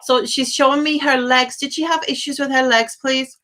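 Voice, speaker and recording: A British woman with a fairly high voice gives a live spirit reading as a phone-in medium. She talks in a casual, chatty flow and keeps checking in with the person she is speaking to. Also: steady tone, normal speed, bright, forceful tone. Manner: chatty, often checks with "okay?" and "do you understand".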